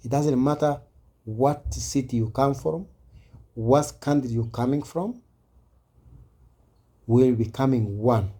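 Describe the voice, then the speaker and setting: Mellow African man making an earnest appeal. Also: mid-range voice, takes it slowly, long pauses, breathy edge. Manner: earnest, exhorting, repetitive. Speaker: speaker addressing online viewers. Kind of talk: impassioned informal speech to camera.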